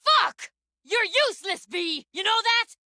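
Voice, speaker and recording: A woman's voice: very high-pitched, animated, measured pace, pushed and bright, dry recording, studio quality, full character voice. A woman voice-acting a tough, blunt but tender-hearted young techie in a video game, with clipped, slangy, sweary phrasing. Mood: angry, distressed.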